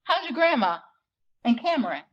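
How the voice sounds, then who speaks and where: A woman performing a self-conscious, wry sixteen-year-old girl narrating her life in a slangy, expressive dramatic reading of a novel. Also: on the high side, moderate pace, muffled recording. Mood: concerned. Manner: slangy, expressive, conversational storytelling.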